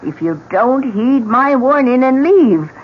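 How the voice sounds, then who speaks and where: An actress playing an old widow in a radio drama, grave, insistent and full of dramatic warnings. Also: female voice, sits high, expressive. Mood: ominous warning. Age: older. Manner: grave, dramatic, slow pronouncements. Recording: dull, noisy old radio recording.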